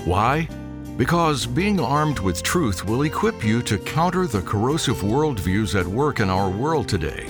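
A man reading a scripted radio show introduction in a smooth announcer manner. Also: mid-range voice, sing-song, a little slow. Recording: announcer voice over guitar music bed.

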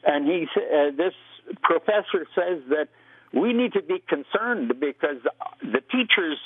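A man's voice: on the high side, husky. A Canadian man calling into a radio show, asking a long question in a rambling, hesitant way with many fillers. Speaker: caller. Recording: tinny phone line.

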